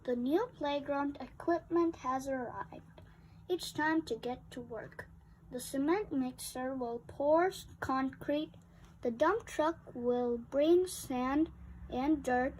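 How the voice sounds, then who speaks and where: Young female child reader, high-pitched and unhurried, expressive picture-book read-aloud. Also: slight background noise, rough recording. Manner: acts out sound effects emphatically. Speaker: storybook reader.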